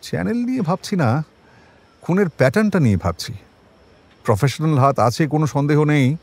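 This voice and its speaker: A male actor playing a shrewd, world-weary senior detective in a crime audio drama, with a measured, wry, colloquial delivery. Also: fairly high pitch, lively intonation, a little mellow. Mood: focused, thoughtful.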